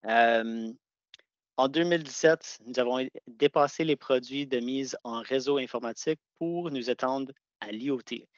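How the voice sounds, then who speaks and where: A man's voice: mid-range voice, normal speed, no room sound. A man giving a product presentation over a video call, speaking conversationally with hesitant restarts. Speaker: sales engineer presenting products. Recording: dull, dry video-call audio.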